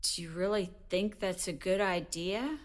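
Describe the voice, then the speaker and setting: A woman's voice: fairly low pitch, a little slow, close to an everyday voice. A woman playing a patient, cautious middle-aged mother of a grown son in an audio drama. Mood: hesitant, concerned.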